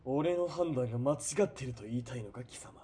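A man playing a ruthless, greedy military police commander in a period radio drama, domineering and sneering, moving between cold murmurs and shouting. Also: medium pitch, brisk, breathy edge, lightly acted. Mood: condescending.

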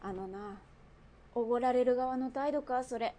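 A woman playing a brash, bossy underworld boss in a radio drama, with rough, masculine, commanding speech. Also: a little high, unhurried, warm tone, slight background noise, close to an everyday voice. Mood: gruff.

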